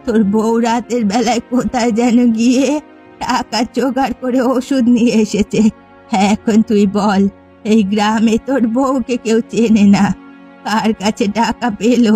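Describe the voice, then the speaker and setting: A woman playing a jealous, accusing elderly village mother-in-law in a melodramatic cartoon, with slow, emphatic delivery. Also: on the high side, steady tone, warm tone, husky, soft background music. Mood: angry, accusing. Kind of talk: melodramatic cartoon family comedy acting.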